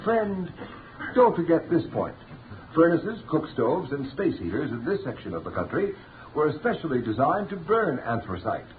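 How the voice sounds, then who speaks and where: A man delivering an emphatic, polished advertising pitch as a 1930s radio announcer, addressing the listener as a friend. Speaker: commercial announcer. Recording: tinny, slightly noisy 1930s radio.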